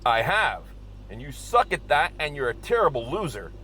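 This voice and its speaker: A man acting expressive, exasperated everyday characters in a scripted comedy audio drama. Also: on the high side, moderate pace, a little hiss or hum, low-quality recording. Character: excitable, exasperated men: hillbilly and pizzeria owner brother.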